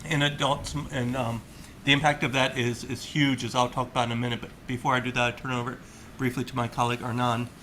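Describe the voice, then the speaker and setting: A middle-aged man giving a webinar presentation as a company executive, pausing often with ums and clearing his throat. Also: medium pitch, flat delivery, sharp tone, slight background noise.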